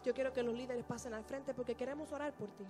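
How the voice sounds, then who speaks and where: A woman's voice: high voice, steady tone, very fast, over music. A woman interpreting a sermon earnestly into a handheld microphone on a church stage.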